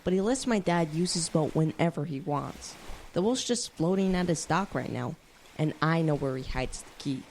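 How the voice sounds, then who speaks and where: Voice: medium pitch, lively intonation, normal speed, darkish tone, lightly acted. A young performer playing a bold, scheming teenage boy who leads a gang of kids in a radio drama, persuasive and informal. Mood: confident. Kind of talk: youth radio drama acting. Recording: noticeable background noise.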